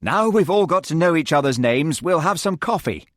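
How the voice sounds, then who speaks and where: A man voicing a nervous, brightly cheerful male fishing instructor. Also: fairly high pitch, sing-song, unhurried, dry recording, studio quality, pitched above the performer's own voice.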